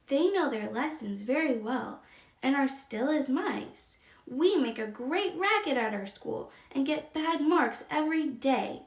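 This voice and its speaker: A curious, earnest young girl played by a female reader speaks in a storybook tale. Her voice is high and her delivery careful and measured, heard in a noticeably roomy space. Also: expressive. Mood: thoughtful. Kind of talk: storybook character reading.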